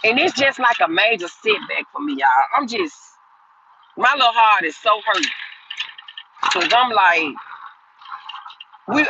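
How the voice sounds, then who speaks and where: A woman's voice: fairly high pitch, pushed and bright, dull audio, a little hiss or hum. A woman in her fifties hosting a livestream, chatting casually with viewers and answering their comments in a rambling, repetitive way. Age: 50s. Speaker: livestream host.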